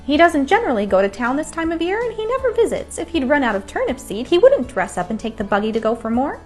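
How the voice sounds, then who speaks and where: A woman reader performs a busybody country housewife in a storybook tale. Her voice sits high and lifts with emphasis. She is chatty, folksy and full of opinions, with music playing behind her. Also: very animated, fast, a little mellow. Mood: puzzled, insistent. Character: nosy, opinionated middle-aged country housewife, puzzled and judging.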